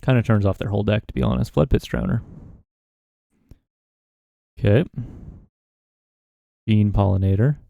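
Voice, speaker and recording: A man's voice: on the low side, normal speed, vocal fry, long pauses, no room sound, lo-fi sound. A man giving casual commentary while playing a card game, thinking aloud about his choices. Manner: relaxed, musing, talks himself through plays. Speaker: gaming content creator.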